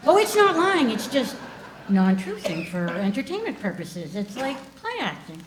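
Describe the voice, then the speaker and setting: A voice actor playing a sharp-tongued, clever woman who teases dryly. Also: on the high side, very animated, noisy background. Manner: dry, teasing and quick with insults. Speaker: voice actor at a table read.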